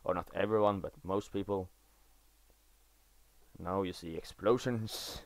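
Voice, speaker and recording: A man's voice: sits low, fairly even intonation, vocal fry, no room sound. Teen boy with a Norwegian accent. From Norway. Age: teenager. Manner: halting, self-correcting, enthusiastic about game details. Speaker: gaming video creator. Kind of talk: casual gameplay commentary. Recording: dry voice-over recorded after gameplay.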